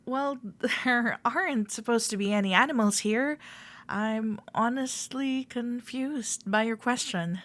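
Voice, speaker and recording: A woman playing a friendly, playful club member in a roleplay audio, gently reassuring a shy newcomer as she speaks directly to the listener. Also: a little high, slow. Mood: curious, puzzled. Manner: gentle, reassuring, playful, hesitant soft-spoken chattiness.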